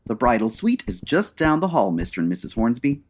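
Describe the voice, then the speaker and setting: A man playing a nosy, pushy hotel bellboy with a husky, fussy, officious delivery in old-time radio comedy style. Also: mid-range voice, sing-song, brisk, loud music behind the voice, natural voice.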